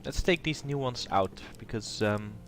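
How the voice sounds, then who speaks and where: A man's voice: mid-range voice, lively intonation, unhurried, soft background music. Nasal man with a cold. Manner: thinking aloud, hesitant with ums. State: has a cold. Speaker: let's play host. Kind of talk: casual gameplay commentary.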